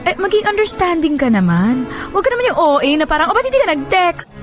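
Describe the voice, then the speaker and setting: A woman radio host with an expressive delivery, presenting relationship advice and letters on air. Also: extremely high pitch, fast, soft background music.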